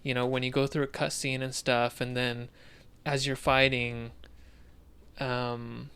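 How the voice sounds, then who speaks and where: A man's voice: medium pitch, level tone, a little slow, bright, forceful tone, smooth. A man co-hosting a casual discussion, thinking aloud a bit hesitantly as he talks. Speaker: podcast co-host. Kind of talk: casual discussion of video games.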